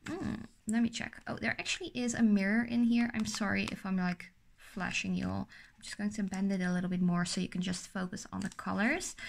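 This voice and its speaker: A woman's voice: mid-range voice, gravelly. Chatty young woman, dutch first language, sore throat, lots of ums, unboxing makeup. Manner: chatty, rambling, lots of fillers. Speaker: beauty vlogger. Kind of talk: casual product unboxing.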